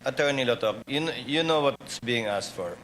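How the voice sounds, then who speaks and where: A man's voice: medium pitch, expressive, normal speed, a bit bright. A man with a Filipino accent presiding over a hearing, formally and authoritatively admonishing those before him. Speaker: presiding officer. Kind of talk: formal courtroom rulings. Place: hearing room. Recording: noisy hearing-room ambience.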